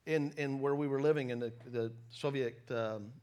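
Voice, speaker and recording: A middle-aged American man giving a sermon with personal stories, conversational but emphatic, pausing deliberately between phrases. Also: mid-range voice, steady tone, a little mellow, clean tone. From United States. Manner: conversational, emphatic with deliberate pauses, self-deprecating. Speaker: preacher, former missionary.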